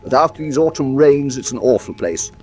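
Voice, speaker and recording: An actor playing an eager, talkative naturalist in a radio drama, with a polite, hesitant, wordy manner. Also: male voice, medium pitch, animated, fairly quick, a little mellow, faint music behind, close to an everyday voice. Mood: enthusiastic. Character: eager, talkative naturalist man living on the moor. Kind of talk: classic radio drama acting.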